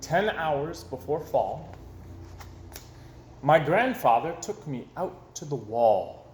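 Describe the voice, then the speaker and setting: A man reading a rhyming children's book aloud with an expressive, sing-song delivery, in an echoey room. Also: on the high side, slow pace, a little hiss or hum. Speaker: storyteller.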